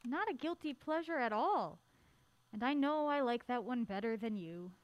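An actress playing a mild-mannered, witty film buff in an audio drama, with wry, playful banter. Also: female voice, on the high side, expressive, normal speed, close to an everyday voice, poor audio. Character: mild-mannered, witty film-buff woman, assistant video store manager.

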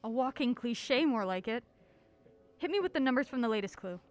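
Feisty young female sleuth played by an actress, high swooping voice, brisk and sardonic, impatient, audio drama. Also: expressive, lightly acted. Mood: brisk, wry. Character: feisty, quick-witted young woman, impatient amateur sleuth.

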